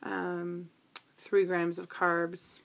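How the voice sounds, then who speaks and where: A woman's voice: on the low side, monotone, extremely slow, vocal fry. A woman in her thirties from Canada, chatting casually through a grocery haul video with frequent ums and small asides, recorded on a tablet in a living room. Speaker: vlogger. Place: living room with couch, indoors. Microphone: tablet camera built-in mic.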